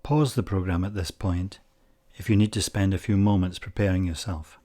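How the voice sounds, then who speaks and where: A man leading a guided meditation in calm, measured short phrases with long pauses. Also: sits low.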